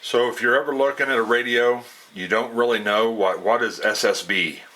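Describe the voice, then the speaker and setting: A man giving a casual, unscripted explanation as a radio hobbyist, in a plainspoken, folksy way with halting phrasing. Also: medium pitch, fairly even intonation, sharp tone.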